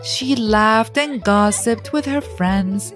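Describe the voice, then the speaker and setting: A woman narrating a scripted children's story podcast with deliberate phrasing and dramatic pauses. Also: a little high, soft background music.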